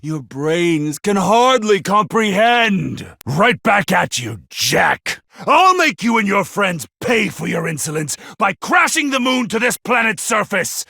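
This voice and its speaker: Raspy, unhinged villain. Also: male voice, sing-song, slow, hardly any pauses, sharp tone, no echo, studio quality, exaggerated voice. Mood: haughty threat. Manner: sneering, unhinged, dramatic swings from low menace to screams. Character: crazed, contemptuous, murderous male villain with a god complex. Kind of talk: theatrical anime game voice acting.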